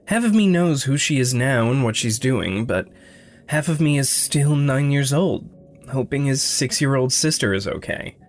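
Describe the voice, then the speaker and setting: An actor playing a gentle, guilt-ridden young man, halting and introspective, in a naturalistic audio drama. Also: medium pitch, lively intonation, close to an everyday voice. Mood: conflicted, guilty. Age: young adult.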